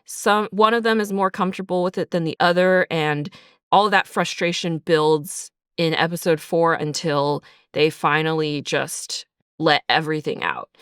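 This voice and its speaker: Woman, interview guest, reflective casual talk, crisp clear voice, dry close sound. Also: low-pitched, fairly even intonation, normal speed, no room sound. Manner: reflective, thinks aloud with frequent "like" and "sort of". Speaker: writer and creator of an audio drama, guest. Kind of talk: casual behind-the-scenes interview.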